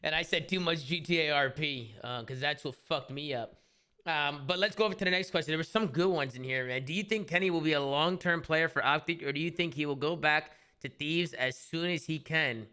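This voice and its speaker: A young man hosting a casual esports talk show, reading out viewer questions in a quick, upbeat way that sometimes drops to a mumble. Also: a little high, pushed and bright, clear, resonant tone.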